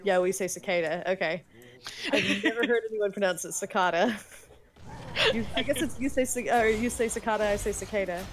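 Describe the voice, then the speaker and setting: A woman chatting casually on a stream, playful and full of quick quips. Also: mid-range voice, a little hiss or hum. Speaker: stream co-host. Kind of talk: casual chat.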